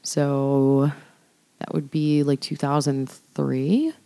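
Low-voiced young woman singer chatting. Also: little pitch movement, slow, mellow. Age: young adult. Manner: chatty, filler-heavy, laughs often. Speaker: guest vocalist. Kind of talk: casual podcast chat.

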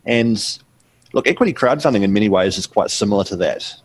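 A middle-aged man with a New Zealand accent, answering interview questions in a measured, explanatory way with frequent ums and restarts. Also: fairly low pitch, lively intonation, husky. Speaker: guest author.

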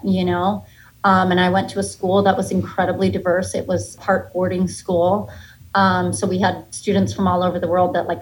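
A woman's voice: mid-range voice, rough recording. Chatty, candid American woman comedian. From United States, Massachusetts and Florida. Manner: chatty, candid, playfully joking. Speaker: podcast guest, stand-up comedian. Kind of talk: casual conversational interview.

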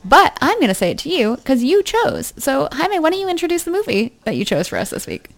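A woman with a Colombian accent co-hosts a relaxed podcast. Her pitch rises and falls in an animated way, and she laughs often while teasing the others. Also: fairly quick, gravelly. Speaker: podcast co-host. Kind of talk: casual podcast chat.

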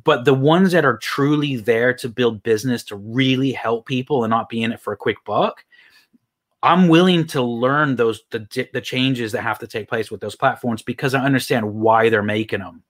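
A man in his thirties talks with an accent that mixes Texan, Irish and British sounds. He answers questions as a guest in a casual way, in a voice of middling pitch. He rambles, restarting his sentences often. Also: normal speed. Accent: mixed Texan, Irish and British. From United States. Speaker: online marketing guest. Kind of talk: casual interview talk.

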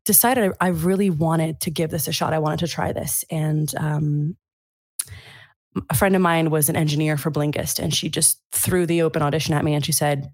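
A woman voice actor and singer, chatting as a podcast guest in an animated way that is quick to laugh. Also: low voice, dry recording, clean studio sound. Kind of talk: casual conversation.

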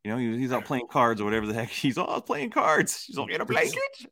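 A man's voice: mid-range voice, huge pitch swings, fast, pushed and bright. A man in his thirties co-hosting a podcast, chatting casually, saying "dude" a lot and acting out quotes. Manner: laid-back, says "dude" a lot, acts out quotes. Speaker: podcast co-host. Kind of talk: casual chat.

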